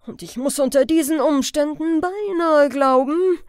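A woman's voice: high voice, measured pace, a little mellow, no room sound, clean studio sound, pitched above the performer's own voice. A woman voicing a pompous, indignant male police major in an audiobook, haughty and scolding, with emphatic delivery. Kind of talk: audiobook character voice.

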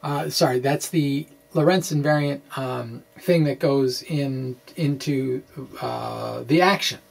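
A man in his forties with a medium-pitched voice presents physics to camera. His delivery is quirky and animated, moving between theatrical reading and informal, hesitant explanation. He sounds like an independent science blogger talking in a small room. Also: takes it slowly, gravelly. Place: small indoor room with bookshelves.

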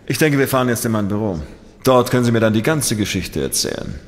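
Male actor as sceptical inspector, mid-pitched, dry, weary, audio drama dialogue, cavernous reverb. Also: animated, fast, natural voice. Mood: weary, grumbling. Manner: dry, interrogating. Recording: long reverb of a hall.

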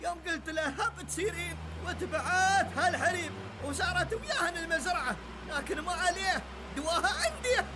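Squeaky whiny old cartoon man, emirati accent, grumbles a lot. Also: animated, brisk, a crowd in the background. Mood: grumbling. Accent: Emirati Arabic. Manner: complaining, whiny. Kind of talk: Gulf Arabic cartoon dub.

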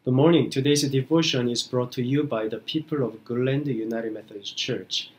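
A middle-aged man, a pastor giving an earnest, slow radio devotion sermon. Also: mid-range voice, fairly even intonation, a little mellow, breathy edge.

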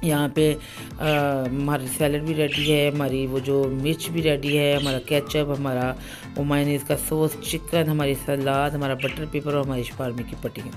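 A woman's voice: sits low, little pitch movement, moderate pace, music in the background. A woman, hosting a home cooking channel, talking through a recipe in a chatty voice-over full of fillers. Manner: chatty, repeats "ठीक है जी", many fillers.

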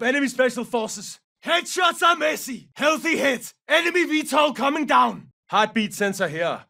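An actor playing a gruff, battle-hungry special forces mercenary in a military game, giving clipped, forceful combat callouts in a gravelly voice. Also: male voice, sits high, recorded from a distance. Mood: urgent, shouting. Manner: clipped, forceful, barked short phrases.

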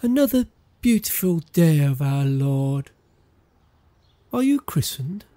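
A man acting a pious, measured village vicar in an audio drama. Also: on the high side, sing-song, warm tone. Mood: gently solemn.

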